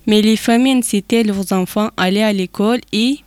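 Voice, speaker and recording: Woman from Afghanistan, careful halting reading. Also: medium pitch, darkish tone, no echo. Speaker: testimony speaker. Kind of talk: reading a prepared testimony.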